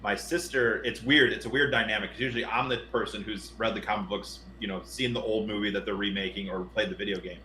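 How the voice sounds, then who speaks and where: A bright, forceful male voice in a roomy, echoing space with faint noise. He co-hosts a casual podcast, rambling through his opinions in an animated way and swearing as he goes. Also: lowish voice, fast talker, hardly any pauses. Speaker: podcast co-host. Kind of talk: casual film and game discussion. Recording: roomy echo with background noise.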